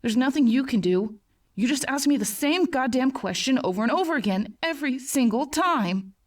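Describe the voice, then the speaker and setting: A woman's voice: sits high, animated, moderate pace, far from the mic. A woman voicing an agitated, tormented young male patient in a horror story, tight and bitter, struggling to keep composure. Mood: angry, agitated. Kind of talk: acted horror story dialogue.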